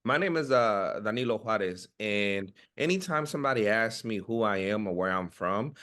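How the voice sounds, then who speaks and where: Low-voiced man giving informal personal testimony. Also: animated, a bit bright. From Guatemala and Oakland, California. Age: 30s. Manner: informal, halting, repeats words. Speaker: educator and family organizer.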